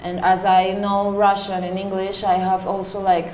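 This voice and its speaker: A young woman from Panama answering interview questions casually, pausing often with fillers. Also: medium pitch, monotone, a little mellow. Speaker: interview guest. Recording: echoey room with faint noise.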